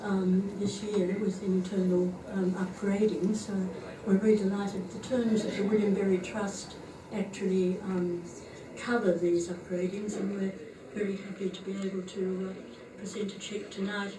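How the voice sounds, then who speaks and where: A soft-spoken woman from a charitable trust presenting a cheque at an evening function, halting with fillers. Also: medium pitch, level tone. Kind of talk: formal presentation speech. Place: function room at an evening party. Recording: echoey room, far-off mic, background noise.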